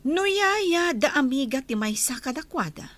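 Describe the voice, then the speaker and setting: A woman playing the sharp, suspicious wife of a wealthy mansion owner in a radio comedy drama. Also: high-pitched, big pitch swings, normal speed.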